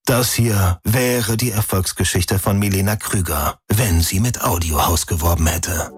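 A male commercial voice tells a short story in a husky, breathy voice, recorded close and dry. Faint music runs behind him. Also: low-pitched, a little slow, dry recording. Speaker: ad narrator. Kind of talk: scripted commercial narration.

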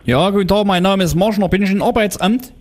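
A man's voice: high voice, quick pace, a little mellow, pitched above the performer's own voice, close to an everyday voice. A man on a prank call plays a nosy, insistent middle-aged neighbor, rambling on in a grumbling way. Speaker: prank caller. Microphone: telephone call.

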